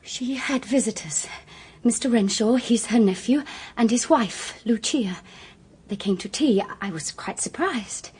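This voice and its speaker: An anxious, earnest young woman in a radio drama. Her voice is high and extremely breathy, with a hesitant, frightened delivery. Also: measured pace, a little mellow. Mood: anxious, explaining.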